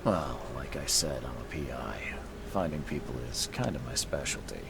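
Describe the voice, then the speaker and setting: A deep voice comes over clear background noise. a weary private detective in a video game, measured and courteous yet persistent, keeping his delivery understated. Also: expressive, heavily acted. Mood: polite, probing. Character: polite, persistent, world-weary male private investigator. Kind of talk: video game dramatic acting.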